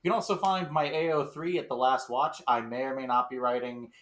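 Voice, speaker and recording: A man's voice: medium pitch, steady tone, brisk, a bit bright. A man talking casually as a podcast host. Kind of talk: casual chat.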